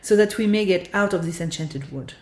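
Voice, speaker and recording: A woman's voice: on the low side, brisk, a little mellow, pitched below the performer's own voice, close to an everyday voice. A woman voicing a young boy in a fairy-tale storybook reading. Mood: eager. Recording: echoey room.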